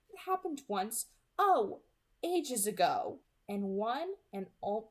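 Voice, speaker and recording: A woman's voice: mid-range voice, exaggerated voice. A woman reading aloud as a gloating, mockingly polite male vampire hunter in a storybook, with a genteel, sarcastic manner. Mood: gloating, taunting.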